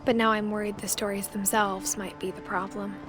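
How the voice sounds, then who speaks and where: A woman playing a thoughtful young woman, narrating a game in a quiet, understated, reflective voice-over. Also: medium pitch, little pitch movement, a little fast, music in the background, lightly acted. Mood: uneasy, reflective. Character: thoughtful young woman uncovering her family's secrets.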